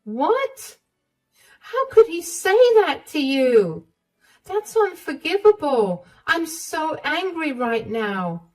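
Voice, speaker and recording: A woman voicing a caring, protective middle-aged mother-in-law, warm, indignant and reassuring in a storybook tale. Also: a little high, animated, measured pace, faint music behind, voice pushed up, low-quality recording. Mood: outraged.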